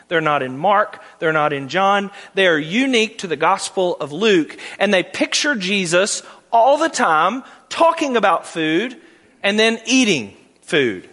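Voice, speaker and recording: A higher-pitched man with a Southern accent preaches a Sunday sermon. His delivery is folksy and conversational, climbing into emphatic stretches. The voice rings out with a long church-hall reverb. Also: a bit bright. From Tennessee. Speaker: guest preacher, Bible department head. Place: church sanctuary.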